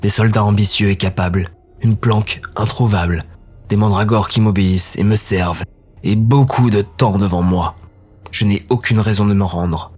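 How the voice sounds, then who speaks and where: A man voicing a ruthless male dark elf warlord who speaks in a cold, measured way. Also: fairly low pitch, fairly even intonation, husky, faint music behind. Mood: defiant.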